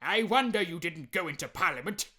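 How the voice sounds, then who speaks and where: Miserly old uncle, growling, indignant, scornful, piercingly bright, wide swooping pitch, storybook. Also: male voice, lively intonation, fairly quick, exaggerated voice. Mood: sarcastic. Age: older. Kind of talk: dramatized audiobook reading of classic fiction.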